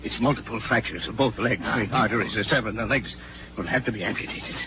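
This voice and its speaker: An actor playing an anxious, humane country doctor in a 1940s radio drama, hesitant and earnest. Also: male voice, on the low side, fast talker, close to an everyday voice. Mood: agitated pleading. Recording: noisy, muffled old 1940s radio recording.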